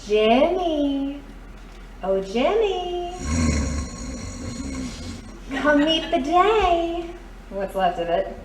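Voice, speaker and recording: A woman acting a chirpy, teasing sweetheart of a radio play. Her high voice swoops playfully as she coaxes, in a roomy recording. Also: very animated, drawn-out words, pauses often, exaggerated voice. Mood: cheerful, coaxing. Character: perky, doting, teasing live-in girlfriend. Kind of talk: audio drama acting. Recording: roomy, distant, faint noise.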